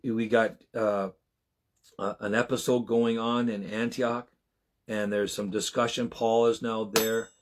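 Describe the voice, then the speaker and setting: A man in his sixties giving an informal Bible teaching as a preacher, with a husky voice and a conversational, halting delivery that often repeats and rephrases. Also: lowish voice, level tone. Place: small home room, indoors.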